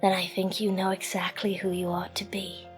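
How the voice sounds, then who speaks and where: A woman playing an earnest, fiercely supportive woman in an audio drama, speaking with tender, emphatic reassurance in a husky, breathy voice. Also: mid-range voice, little pitch movement, moderate pace, faint music behind, close to an everyday voice. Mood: gentle, encouraging.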